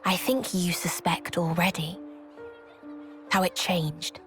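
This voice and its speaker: A woman playing a grave, knowing character in a video game cutscene, challenging another in a measured, solemn, probing way. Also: fairly low pitch, fairly even intonation, faint music behind.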